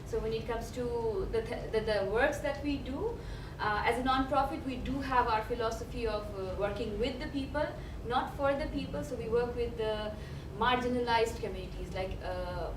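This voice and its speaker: A woman's voice: on the high side, normal speed, few pauses. A young woman from Bangladesh, an activist speaking on a panel, hesitant with many fillers and restarts. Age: young adult. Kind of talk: panel talk. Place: event hall. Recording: echoey room, from far off, noisy.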